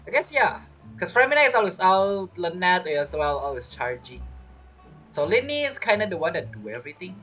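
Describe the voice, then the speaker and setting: A woman's voice: on the low side, sing-song, moderate pace, a bit bright, faint music behind. A woman doing casual game playthrough commentary, informal and musing aloud as she reacts to the story. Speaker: gamer reacting to a story quest.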